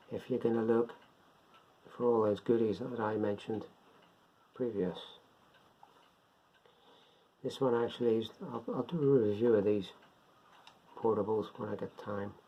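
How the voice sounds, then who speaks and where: An older man giving a casual, unscripted product demonstration, talking haltingly as he thinks aloud. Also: fairly low pitch, steady tone, breathy edge. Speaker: presenter of a beginners' radio hobby video. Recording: dull lo-fi sound, faint background noise.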